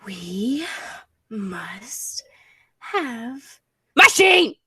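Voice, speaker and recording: A woman's voice: on the high side, big pitch swings, very slow, sharp tone, husky, no room sound, studio quality, exaggerated voice. A woman playing a suspicious, hot-tempered male time traveller in a radio play, with an urgent, demanding manner. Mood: angry, demanding. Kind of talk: 1940s radio play acting.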